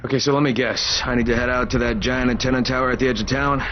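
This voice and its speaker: A man playing a gruff, sardonic undercover operative in a zombie outbreak video game. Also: mid-range voice, steady tone, quick pace, muffled recording, a little hiss or hum, close to an everyday voice. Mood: resigned. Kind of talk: video game cutscene acting.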